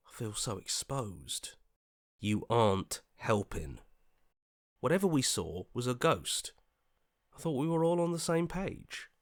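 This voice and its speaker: A man's voice: mid-range voice, no room sound, studio quality, close to an everyday voice. An actor playing an anxious, earnest young male hero in a video game, hesitant and pleading, sometimes stammering. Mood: worried, hushed.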